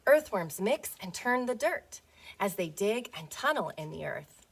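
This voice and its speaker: A woman teacher reading a picture book aloud to young children, playful and expressive, often putting questions to them. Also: medium pitch, a little slow, slightly rough.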